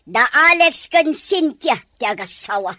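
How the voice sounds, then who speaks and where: A woman's voice: on the high side, very animated, unhurried, bright, forceful tone, no echo, clean studio sound, exaggerated voice. A woman playing a haughty, scornful rich mother who scolds imperiously in a melodramatic audio drama. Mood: scheming, angry. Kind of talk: melodramatic radio-style drama acting.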